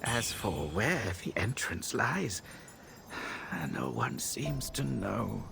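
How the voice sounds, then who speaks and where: An actor playing a grave, scholarly male healer in a video game, speaking in a solemn, measured, formal manner. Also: on the low side, big pitch swings, creaky, over loud music, heavily acted. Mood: pensive, cautioning. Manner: solemn, measured, formal old-fashioned phrasing. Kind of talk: video game cutscene acting.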